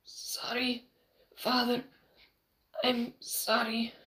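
A woman voicing a dying young male swordsman, weak and apologetic, in a halting whisper. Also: on the high side, monotone, fairly bright tone. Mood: weak, remorseful.

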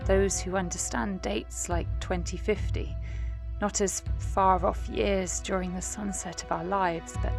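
A woman acts a young mother in a modern audio drama. She is tender and uneasy, with a mid-pitched voice that often sinks into a hesitant, confiding murmur. Faint music sits behind her. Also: close to an everyday voice. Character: anxious, searching young present-day mother, tender, dryly humorous. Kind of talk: intimate audio drama monologue and murmured talk.

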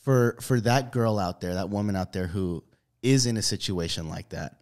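A man's voice: on the low side, moderate pace, a little mellow. A man hosting a podcast, laid-back and a bit hesitant as he frames his questions. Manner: laid-back, says dude, hesitant when framing questions. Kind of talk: casual interview.

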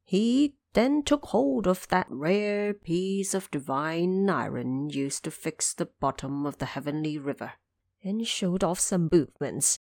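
An old dragon king acted out by a woman in an audio drama, fretful and eager to placate. The speech is courteous and hesitant, with wide swings of pitch. It is recorded very dry. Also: expressive, a little slow, creaky, darkish tone, dry recording, full character voice. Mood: weary warning. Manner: pleading, hesitant, deferential.

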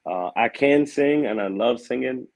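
A man's voice: mid-range voice, a little slow, vocal fry, darkish tone. A man in his thirties, a vocal coach and assistant pastor, chatting easily as a guest and often saying "man". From Aberdeen, Maryland. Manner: easygoing, laughs often, says "man" a lot. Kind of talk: casual podcast chat.

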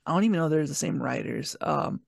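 A man's voice: fairly high pitch, animated, fairly quick, gravelly, no echo, studio quality. A man co-hosting a podcast, giving opinions in a casual, hesitant, conversational way. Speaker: podcast co-host. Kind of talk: casual review chat. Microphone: online video call recording.

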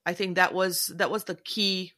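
A middle-aged woman from Malaysia, a career coach who is a guest in an interview, talking in an expressive, warm way and laughing often. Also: fairly low pitch, moderate pace. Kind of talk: casual interview conversation.

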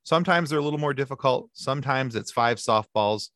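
A man guesting on a podcast, telling stories in a lively chatty way with quick asides and restarts. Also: mid-range voice, no echo, clean studio sound. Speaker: podcast guest, sci-fi podcast co-host. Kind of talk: casual interview chat.

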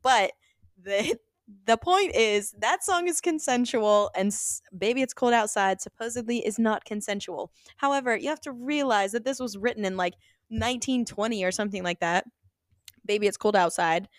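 A woman's voice: fairly high pitch, normal speed, dry recording, studio quality. A young adult woman co-hosting a casual podcast chat, laughing often as she talks. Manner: giggly, chatty, laughs often. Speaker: podcast co-host.

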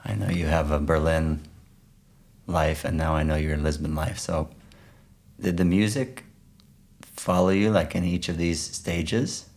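Slow, low-voiced male interviewer. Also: steady tone, darkish tone. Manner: tentative, pausing mid-phrase. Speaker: podcast interviewer. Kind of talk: casual, thoughtful interview talk.

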